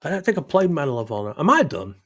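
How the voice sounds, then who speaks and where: A man's voice: a little high, big pitch swings, fast, vocal fry. A man hosting a live stream with a sore throat, chatting loosely with his viewers in a rambling, self-deprecating way and stumbling over his words. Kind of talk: casual chat reading live chat.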